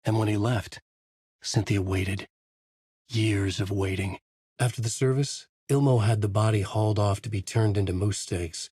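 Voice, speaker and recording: A man's voice: on the low side, moderate pace, mellow, breathy edge, no room sound, clean studio sound. A middle-aged man narrating a video game as a brooding writer, in a noir-like inner monologue. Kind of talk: hard-boiled game narration.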